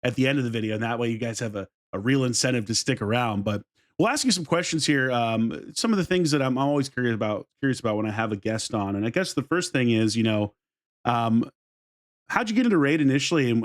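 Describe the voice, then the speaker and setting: A man hosts a casual interview, cracking jokes and laughing often. He talks quickly. His tone stays upbeat and easygoing. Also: medium pitch, expressive, dry recording, clean studio sound. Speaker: host. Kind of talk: casual interview over a call.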